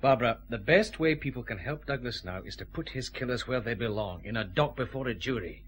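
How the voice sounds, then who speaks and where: Guy acting scottish police chief inspector, radio play, calm n in charge, medium voice. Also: medium pitch, fairly quick, lightly acted. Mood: grave, gentle.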